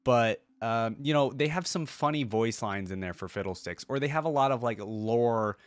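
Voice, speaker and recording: A man's voice: medium pitch, normal speed, no echo. A man giving casual, unscripted reaction commentary as a video host, rambling and emphatic as he addresses his viewers.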